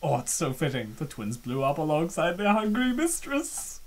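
A woman giving excitable, theatrical, joking reaction commentary as narrator of a comic dub. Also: deep voice, moderate pace.

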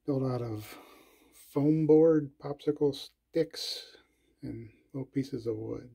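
Slow, gravelly man narrating a tour. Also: warm tone. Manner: slow, low-key, listing items with pauses and fillers. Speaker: collector giving a tour. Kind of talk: casual walkthrough narration.

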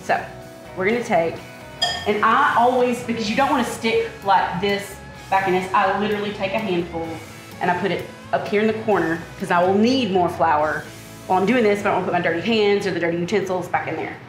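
A woman's voice: medium pitch, a little fast. A woman giving a step-by-step cooking demonstration, patient and encouraging as she guides a beginner. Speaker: cooking instructor. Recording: roomy kitchen sound with background music.

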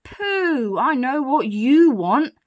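Woman acting storybook burglar man, cheerful, kindly, slow and emphatic, animated pitch, rough working-class grammar. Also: no echo. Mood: friendly. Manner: drawn-out, emphatic, ungrammatical working-class speech. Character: gruff, cheerful, kindly male cockney burglar. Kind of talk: children's storybook character voice.

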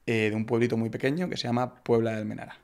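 A young Spanish man with a Madrid accent talks in a relaxed, halting way, full of fillers and restarts. His voice has a gravelly edge and stays flat in pitch. He is recorded on a close studio mic. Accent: Spain Spanish. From Madrid, Spain. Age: young adult. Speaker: podcast guest, entrepreneur. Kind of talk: casual interview chat. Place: podcast studio, indoors. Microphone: broadcast mic on arm, close.

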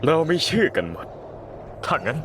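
A man's voice: normal speed, noisy background, close to an everyday voice. A man dubbing a wary, blunt young male government agent who talks in curt, suspicious bursts. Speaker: fan voice actor dubbing a game. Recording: game music under the voice.

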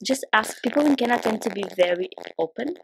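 Brazilian woman, hesitant and halting. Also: a little high, lively intonation, rough recording. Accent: Brazilian Portuguese. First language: Portuguese. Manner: hesitant, many ums and restarts. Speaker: podcast guest. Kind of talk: casual interview chat.

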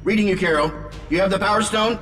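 An actor playing a wry, commanding armored superhero in a video game, dryly sardonic. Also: male voice, high voice, quick pace, a bit bright, big-room sound, faint music behind. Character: wry armored male superhero leader, adult, quick-witted. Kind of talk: video game cutscene acting.

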